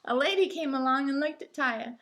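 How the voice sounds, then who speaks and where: A woman reading a children's picture book aloud as an expressive storyteller, with deliberate emphasis. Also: high voice, background noise.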